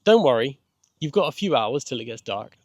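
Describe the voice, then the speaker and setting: A man's voice: mid-range voice, sing-song, fairly quick, a little mellow, dry recording, clean studio sound. Groundskeeper, middle-aged male man acting: cheery, colloquial, kindly, unfussy, for a children's audiobook. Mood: cheery, reassuring. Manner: colloquial, chirpy, dropped grammar. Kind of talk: children's audiobook character dialogue.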